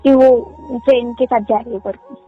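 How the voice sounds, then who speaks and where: A woman's voice: fairly high pitch, fairly even intonation, normal speed, slightly rough. A young woman playing a defensive, aggrieved girlfriend in a casual, insistent phone-call argument. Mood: indignant, pleading. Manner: insistent, questioning. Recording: muffled lo-fi call sound under music.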